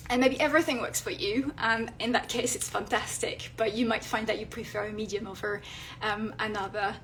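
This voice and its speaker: A woman with a French accent, French her first language, giving tips on a live video and pausing often with fillers and restarts. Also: on the high side, fairly even intonation, fairly bright tone, a little hiss or hum, low-quality recording. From France. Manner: hesitant, many fillers and restarts. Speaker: illustrator and vlogger. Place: indoors at home, near a street.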